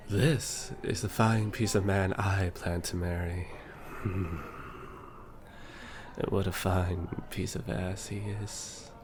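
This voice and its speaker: Man playing a cheeky, lowish-voiced flirt. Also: background noise, close to an everyday voice. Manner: playful, cheeky. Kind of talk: audio drama acting.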